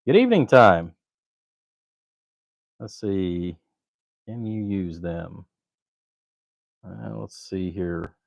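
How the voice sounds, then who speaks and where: A man's voice: sits low, expressive, extremely slow, gravelly, long pauses, no echo, highs cut off. A man chatting casually on a livestream while he works at a bench, rambling along in a laid-back, folksy way. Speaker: livestream host, hobbyist amplifier builder.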